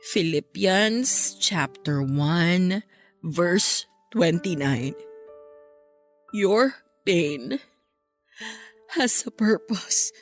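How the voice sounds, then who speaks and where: A young woman playing a bereaved, heartbroken woman in a Filipino radio drama, speaking in a plaintive, emotional way. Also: lowish voice. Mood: pensive, pained. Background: music.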